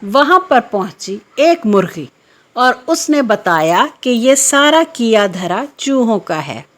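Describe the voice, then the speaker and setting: A woman narrating a scripted children's story in a measured, expository way. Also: a little high, sing-song, a bit bright, slight background noise. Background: background music.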